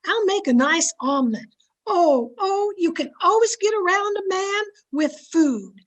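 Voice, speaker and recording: A woman's voice: very high voice, expressive, unhurried. A woman playing a frank, loyal, chatty housemaid in an old-time radio sitcom style. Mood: chatty, confiding.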